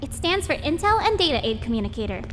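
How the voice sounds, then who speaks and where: Woman playing a very high-pitched, perky rookie cop. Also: sing-song, fast talker, fairly bright tone, long reverb tail, a little hiss or hum. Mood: helpful, bright. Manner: bubbly, chatty, wide-eyed. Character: perky, eager, naive young rookie policewoman. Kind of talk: audio drama acting.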